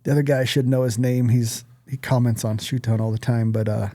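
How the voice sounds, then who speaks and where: A man's voice: mid-range voice, flat delivery, brisk, dark tone, no room sound, clean studio sound. A man co-hosting a podcast, chatting casually in an informal, rambling way. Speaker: podcast co-host.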